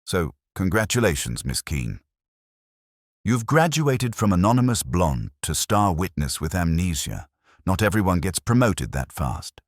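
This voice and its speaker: A man's voice: low-pitched, sing-song, unhurried, no echo, clean studio sound, pitched above the performer's own voice, heavily acted. A man voicing a wisecracking, unflappable detective's assistant in an audiobook, sarcastic and cheeky. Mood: teasing.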